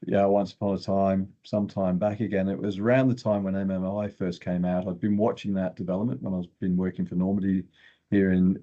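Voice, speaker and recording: A man's voice: deep voice, dark tone. An older man giving opinionated, explanatory technical talk as an interview guest. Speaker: guest geochemist. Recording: dull, lo-fi sound.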